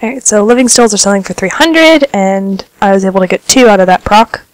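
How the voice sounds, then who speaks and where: A woman's voice: medium pitch, brisk, no echo, poor audio. Woman w/ a bit of a cold chatting thru her gameplay, stuffy nose, dry close mic, lots of ums. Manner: relaxed, chatty, filler-heavy. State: slightly congested from a cold. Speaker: gaming vlogger. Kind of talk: casual gameplay commentary.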